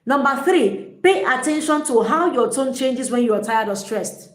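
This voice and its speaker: A woman giving an energetic, emphatic motivational talk as a relationship coach, often repeating her points. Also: high voice, moderate pace. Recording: echoey room.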